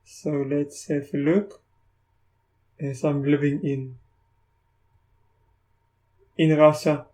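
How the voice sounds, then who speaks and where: A South African man whose first language is Afrikaans, casually talking through a screen recording of software, often stopping and restarting. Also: fairly high pitch, little pitch movement. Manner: halting, restarts often, thinks aloud. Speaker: tech YouTuber.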